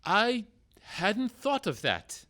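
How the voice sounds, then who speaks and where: Man playing a bright, high-voiced theatrical outlaw leader. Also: wildly swooping pitch, very slow, heavily acted. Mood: puzzled. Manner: theatrical, earnest. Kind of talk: radio-play comedy acting.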